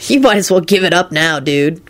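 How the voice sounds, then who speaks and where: A woman's voice: lowish voice, animated, brisk, a bit bright. Storybook stoner lad, played by a woman: slangy, laid-back, cheerfully dim, easily thrilled. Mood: knowing, chummy.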